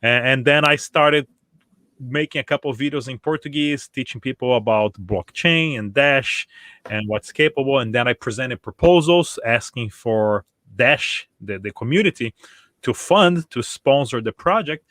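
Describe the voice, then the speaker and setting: A man from Brazil, a guest in an interview, telling his story in a relaxed way and often repeating words as he starts. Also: mid-range voice, moderate pace, dry recording. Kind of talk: casual interview.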